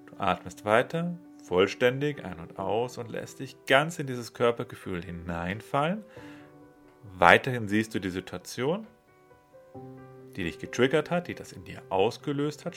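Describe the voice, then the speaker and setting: A man leading a guided meditation, giving slow, soothing instructions with long pauses. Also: mid-range voice, animated. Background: soft instrumental music.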